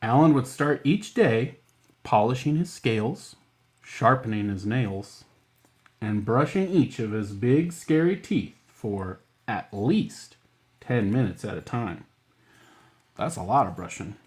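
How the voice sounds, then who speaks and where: A man's voice: medium pitch, expressive, slow, a little mellow. A man hosting a children's storytime, reading picture books and adding playful, casual asides in simple words. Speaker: children's library storytime host.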